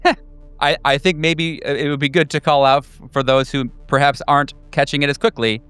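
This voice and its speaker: A man with a smooth, clear voice acts as game master at a horror tabletop session. He speaks casually and a little haltingly, in conversational table talk. Music plays behind him. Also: on the high side, fairly even intonation, a bit bright, dry recording.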